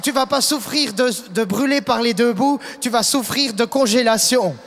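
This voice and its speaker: A man's voice: extremely high pitch, moderate pace, a bit bright. A man interpreting a sermon phrase by phrase with emphatic delivery, in a large reverberant hall. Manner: emphatic, echoes the preacher's delivery.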